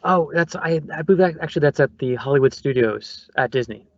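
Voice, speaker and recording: A man's voice: mid-range voice, a little fast, no room sound. Man, guest consultant, easygoing and good-humored, soundproof booth, very dry, muffled. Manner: good-humored, laughs easily. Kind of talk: casual conversation. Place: small soundproof office phone booth.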